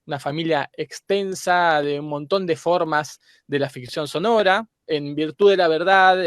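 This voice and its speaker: Higher-voiced Argentine man explaining thoughtfully. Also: no echo, clean studio sound. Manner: didactic, hesitant word-searching. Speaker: guest academic.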